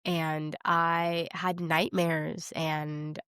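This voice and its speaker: A woman's voice: low-pitched, little pitch movement, drawn-out words, fairly bright tone, clean tone, dry recording, studio quality. A young woman co-hosting a podcast, talking about films in a casual, candid way with youthful slang. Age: young adult. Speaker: podcast co-host. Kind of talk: casual film discussion chat.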